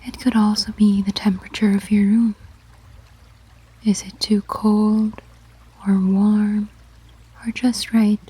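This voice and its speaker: A woman narrating a guided sleep meditation in ASMR style, giving gentle, measured instructions in a whispery, breathy voice. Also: mid-range voice, level tone.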